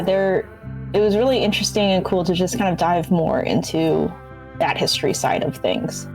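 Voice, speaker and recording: A woman chatting casually as a game developer guest, halting and correcting herself as she goes. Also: lowish voice, darkish tone. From Canada. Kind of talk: casual chat. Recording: faint music behind the voice.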